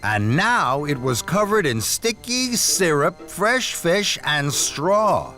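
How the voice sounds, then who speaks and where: Unhurried, expressive male children's storyteller. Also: a little high, faint music behind. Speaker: narrator. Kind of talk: scripted children's story narration.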